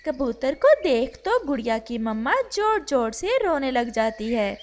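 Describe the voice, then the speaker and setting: A woman's voice: high voice, very animated, unhurried, a little hiss or hum. A woman giving scripted narration for a cartoon children's story.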